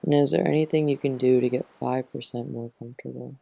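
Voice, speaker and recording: A man who teaches yoga nidra, gently guiding a relaxation meditation in a soothing, unhurried manner, close to the mic. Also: mid-range voice, steady tone, vocal fry, no room sound.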